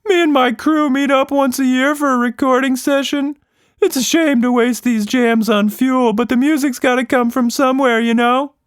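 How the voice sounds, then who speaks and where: A man voicing an excitable, boastful, playful monster with casual, slangy talk in a children's story. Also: extremely high pitch, moderate pace, smooth, dry recording, clean studio sound, voice pushed up. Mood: casual, cool.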